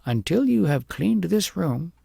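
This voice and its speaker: A man voicing a stern wise woman in a storybook, scolding a spoiled child in a measured, commanding, admonishing way. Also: sits high, big pitch swings, creaky, warm tone, husky, no echo, studio quality, voice pushed up, full character voice.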